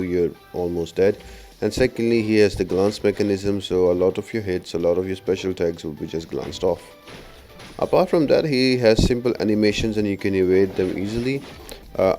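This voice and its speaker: Low, mellow man explaining a game walkthrough. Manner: instructional, step-by-step explaining. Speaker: gaming guide creator. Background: background music.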